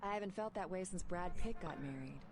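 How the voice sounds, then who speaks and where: Sultry female late-night radio host, acted, over clear noise. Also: lowish voice, expressive, fairly quick, lightly acted. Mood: dry, sardonic. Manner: teasing, flirtatious, dry. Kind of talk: late-night radio DJ patter in a video game. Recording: clearly noisy game radio broadcast.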